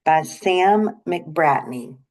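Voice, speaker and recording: Female storybook reader for young children. Unhurried, deliberate delivery, voice a little on the low side. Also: lively intonation, a little mellow, low-quality recording. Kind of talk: children's picture book read-aloud.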